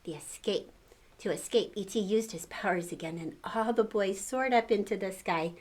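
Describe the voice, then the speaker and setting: A woman's voice: medium pitch, normal speed, darkish tone. An older woman reading a storybook aloud to children, expressively, with exclamations and questions to the listener.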